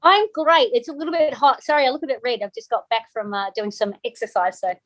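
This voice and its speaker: A woman's voice: a little high, fast talker, a bit bright, dry recording. A middle-aged woman from New Zealand with a Kiwi accent, chatting casually as an interview guest, full of ums and restarts.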